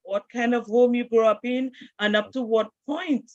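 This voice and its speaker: A woman's voice: a little high, fairly even intonation, normal speed, no echo. A woman hosting an online interview and greeting her guest and audience over a video call. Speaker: interview host. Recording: dull dry video-call audio.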